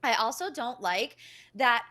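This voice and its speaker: A woman's voice: on the high side, expressive, measured pace, bright, forceful tone. A woman giving emphatic, opinionated commentary as a panelist on a casual recap show.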